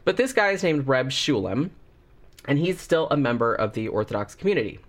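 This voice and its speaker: A man's voice: mid-range voice, very animated, fairly quick. Man with a cold reviewing a horror movie. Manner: rambling and chatty, many fillers. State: has a cold, slightly congested. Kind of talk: casual spoken movie review.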